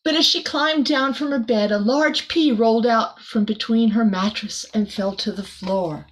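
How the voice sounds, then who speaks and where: A woman's voice: a little high, normal speed, low-quality recording. A woman reading a children's picture book aloud expressively, adding small chatty asides for a young listener. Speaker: storybook reader.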